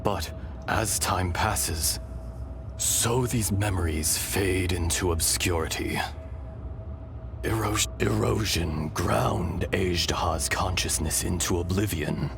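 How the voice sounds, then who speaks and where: An actor playing an ancient dragon's fragment in a human body, solemn, weary and wise, speaking gravely and formally in a video game. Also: male voice, deep voice, music in the background, heavily acted. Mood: weary, reflective. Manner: measured, grave, long pauses, formal wording. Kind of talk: dramatic video game dialogue.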